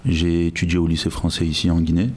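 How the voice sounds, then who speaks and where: Guy from guinea, very low flat voice, thoughtful, keeps restarting his sentences. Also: slight background noise. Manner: measured, reflective, frequent restarts. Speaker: guest, logistics director. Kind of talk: podcast interview.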